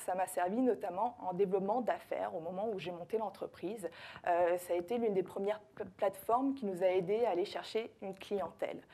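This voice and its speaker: A woman's voice: mid-range voice, warm tone. A woman from France with a French accent, a consultant giving a conference talk to a live audience, hesitant, with many fillers and self-corrections. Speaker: social media consultant and trainer.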